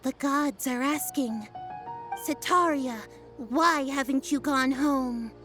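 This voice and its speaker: A woman voicing an awkward fortune teller in a video game, halting as she solemnly speaks for the gods. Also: high voice, a bit bright, faint music behind. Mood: hesitant, solemn. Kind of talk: video game character acting.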